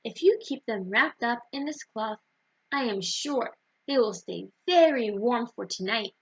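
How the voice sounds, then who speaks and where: A woman's voice: on the high side, expressive, a little slow, breathy edge, dull audio, full character voice. A woman playing a cheery, kindly miller's wife who bakes, in a children's storybook. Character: friendly challah lady, a kindly miller's wife.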